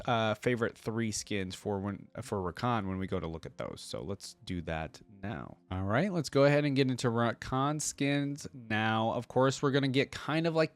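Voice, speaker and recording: A man's voice: mid-range voice, no echo. A man hosting a reaction video with casual, informal commentary, thinking aloud as he goes.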